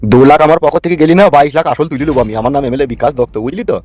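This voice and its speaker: A man's voice: medium pitch, darkish tone, no room sound, natural voice. A man playing a lecherous, bullying village politician in a Bengali cartoon, brusque and domineering, with a rural West Bengal accent. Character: lecherous, bullying male village politician, foul-mouthed and scheming. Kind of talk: crude comic cartoon dialogue. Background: background music.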